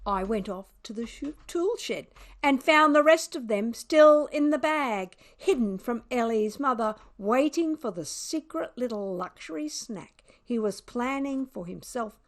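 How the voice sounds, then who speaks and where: A woman's voice: a little high, animated, measured pace. A woman reading a children's storybook as a smug, sardonic, mischievous pet cat, with dramatic, wry emphasis. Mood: gleefully mischievous. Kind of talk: children's storybook read aloud.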